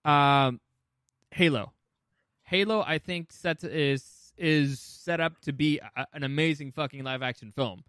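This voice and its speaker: A man's voice: fairly high pitch, measured pace, fairly bright tone, clean tone, no echo, clean studio sound. A man co-hosting a podcast, chatting casually and enthusiastically with some swearing. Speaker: podcast co-host. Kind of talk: casual conversational chat.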